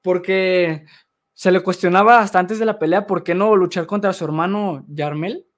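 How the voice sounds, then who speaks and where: A man co-hosting a sports show, giving a measured, analytical take. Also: high-pitched.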